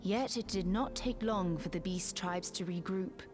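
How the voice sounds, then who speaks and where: A woman's voice: fairly low pitch, unhurried, lightly acted. An actress playing a cool, learned, dry-witted female adviser in a fantasy game, with formal, archaic phrasing. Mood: cool, informative. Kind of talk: scripted fantasy game dialogue. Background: game music.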